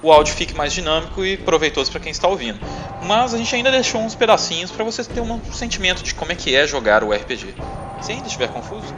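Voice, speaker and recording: A man with a Brazilian accent, narrating a tabletop roleplaying adventure as game master. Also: fairly high pitch. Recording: music playing behind the voice. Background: music.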